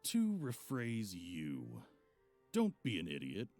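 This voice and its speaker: Man playing a haughty monster hunter, sardonic lover, deep register, swinging animated delivery, game voice acting. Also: slow pace, soft background music, exaggerated voice. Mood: scornful, exasperated. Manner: sarcastic, haughty, old-fashioned wording. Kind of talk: visual novel voice acting.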